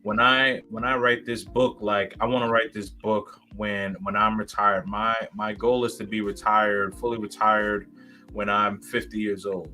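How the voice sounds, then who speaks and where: A man's voice: on the low side, level tone, unhurried, pushed and bright, soft background music. A man in his thirties, a guest in a casual interview, rambling with many fillers and restarts. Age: 30s.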